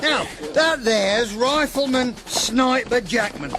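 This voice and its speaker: An actor playing a cheeky, boisterous British army sergeant in a radio drama, with a working-class English accent and bantering manner. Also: male voice, extremely high pitch, takes it slowly, over music. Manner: bantering, colloquial.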